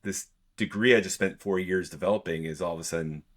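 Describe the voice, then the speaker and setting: A man's voice: low voice, fast. A man hosting a podcast interview, affirming his guest and asking follow-up questions. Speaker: podcast host. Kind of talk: conversational interview.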